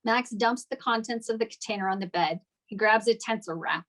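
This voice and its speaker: A woman's voice: fairly high pitch, fairly quick, a bit bright. A woman giving scripted narration, reading out stage directions at a table read.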